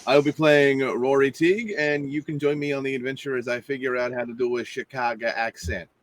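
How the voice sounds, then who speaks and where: Casting: a man at mid pitch with a dry, deadpan delivery, a player introducing his character. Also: a little fast. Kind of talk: casual self-introduction.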